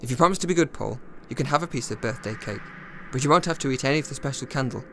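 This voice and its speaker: A man's voice: medium pitch, animated, very fast, a little hiss or hum, lightly acted. Man voicing a menacing, cloying woman captor. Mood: coaxing threat.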